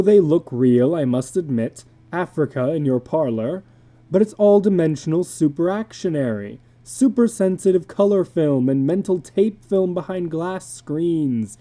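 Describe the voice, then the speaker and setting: A woman's voice: lowish voice, dark tone. A woman voicing a reassuring husband and father in a dramatic story reading, soothing and reasoning. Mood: reassuring, shaken.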